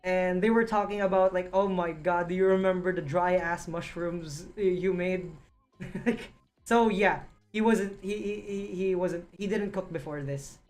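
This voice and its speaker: A woman hosting a casual review chat, excitable and quick to laugh, often restarting her sentences. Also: lowish voice, faint music behind. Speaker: host reviewing a series.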